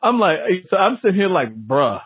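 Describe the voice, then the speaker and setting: A man's voice: high-pitched, normal speed, slightly rough, no room sound. Man chatting on a narrow phone-like line. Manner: animated, jokey, exclaims and mimics reactions. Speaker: interview host. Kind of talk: casual chat interview.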